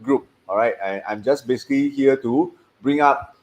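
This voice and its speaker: A man's voice: mid-range voice, moderate pace, darkish tone. A man, hosting a casual stream and rambling with lots of fillers and restarts. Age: 50s. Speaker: host. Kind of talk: casual live-stream chat.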